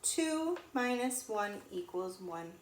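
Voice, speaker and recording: Slow-paced woman reading to children, echoey room. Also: mid-range voice, sing-song. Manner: sing-song, pausing to ask children questions. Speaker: teacher reading aloud to young pupils. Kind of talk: animated children's storybook read-aloud. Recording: echoey room with faint noise.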